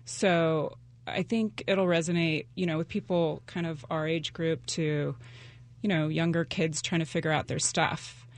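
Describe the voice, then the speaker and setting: Chatty woman author, slight fry. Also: low voice, steady tone, unhurried. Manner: chatty, with fillers. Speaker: guest author. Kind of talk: casual interview chat.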